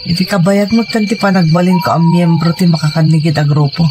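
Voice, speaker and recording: A man's voice: fairly high pitch, steady tone, fast. A man narrating a dramatic first-person story in a reflective manner. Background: music.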